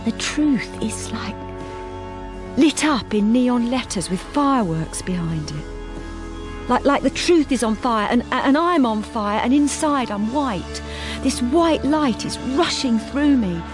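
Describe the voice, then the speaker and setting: A woman's voice: fairly high pitch, expressive, slow, over music. An actress playing a wistful, lonely wife narrating her life in an intimate, reflective radio drama monologue. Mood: rapturous. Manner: intimate, reflective, softly hesitant. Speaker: lead character and narrator.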